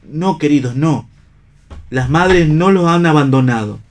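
A man's voice: a little high, lively intonation, measured pace. An Argentine man playing a bitter, idealistic, disillusioned stage actor in a radio theatre reading. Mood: impassioned, oratorical.

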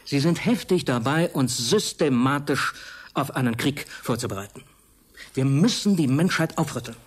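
An actor playing a grave, alarmed older professor in a German radio drama, lecturing emphatically. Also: male voice, mid-range voice, expressive, normal speed, breathy edge. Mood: grave, insistent. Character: grave, alarmed male professor warning of war.

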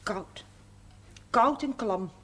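An actress playing a brisk, practical wife fussing over her husband in a 1970s TV drama. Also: mid-range voice, sharp tone. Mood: concerned, brisk. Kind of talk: 1970s television drama acting. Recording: noisy old TV soundtrack.

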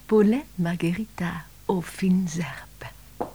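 A woman's voice: fairly low pitch, slow, warm tone, a little hiss or hum. An actress playing a chatty, eager woman office assistant with a dreamy, enthusiastic streak in a Dutch radio play. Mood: dreamy, gushing.

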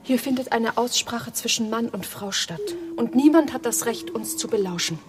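A woman playing hushed, intense young wife. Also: on the high side, moderate pace, close to an everyday voice. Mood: urgent. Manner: intense, often hushed and whispering. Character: Eva, young wife torn between two men. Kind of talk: German radio play acting.

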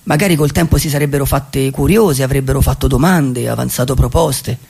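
Man acting a wistful, gravelly vagabond storyteller. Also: medium pitch, a little mellow. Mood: wry, confiding. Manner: wistful, musing, rhetorical questions, deliberate pauses. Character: wry, dreamy male vagabond storyteller, society's gentle outcast. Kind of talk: theatrical radio monologue, poetic storytelling.

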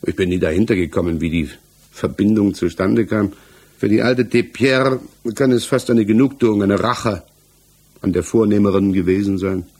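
A man's voice: sits low, a little mellow, breathy edge. An actor playing a defensive, hesitant married village tradesman under questioning in a 1960s German radio play, searching for his words. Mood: hesitant, reflective. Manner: halting, searching for words, evasive.

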